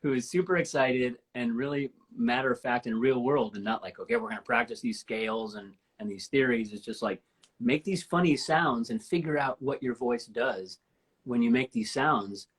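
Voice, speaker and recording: A woman in her fifties with a deep voice, hosting a casual live-stream chat and telling stories with enthusiasm. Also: normal speed, breathy edge. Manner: enthusiastic, rambling storyteller. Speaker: host. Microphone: live stream from home.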